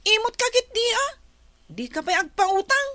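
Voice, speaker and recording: A woman's voice: extremely high pitch, lively intonation, moderate pace, sharp tone, no room sound, heavily acted. A woman playing a pouty, demanding Ilocano schoolgirl in a comedy radio drama, with a whiny delivery. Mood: pouting, annoyed. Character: Mona, pouty spendthrift schoolgirl wheedling for money.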